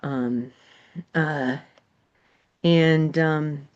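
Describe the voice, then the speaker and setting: Halting New woman, lo-fi. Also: sits low, steady tone, darkish tone. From New England. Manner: halting, thinks aloud with many ums. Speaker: game designer guest. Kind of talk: casual interview chat. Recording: dull lo-fi sound.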